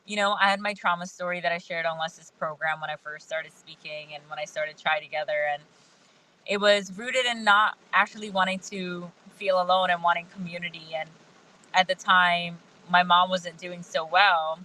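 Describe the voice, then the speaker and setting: A woman's voice: on the low side, fairly even intonation, moderate pace, sharp tone, poor audio. A woman whose first language is Hindi talks candidly and thoughtfully as a guest, laughing often, from outdoors in the rain. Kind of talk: casual video-call conversation.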